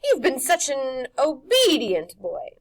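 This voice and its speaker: Loud, menacing witch creature, high-pitched. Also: wildly swooping pitch, takes it slowly, no room sound, full character voice. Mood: menacing, mock-sweet. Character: slimy, menacing female witch creature, bullying then panicking.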